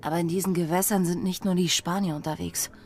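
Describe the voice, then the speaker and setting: A woman's voice: on the low side, steady tone, fast talker, over music, lightly acted. An actress playing a bold, headstrong young woman pirate in a German radio drama, speaking with urgency. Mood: worried, challenging.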